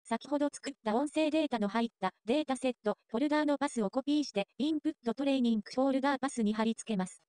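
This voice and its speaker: A woman reading scripted narration for a software tutorial. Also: sits high, very fast, few pauses, no echo, studio quality.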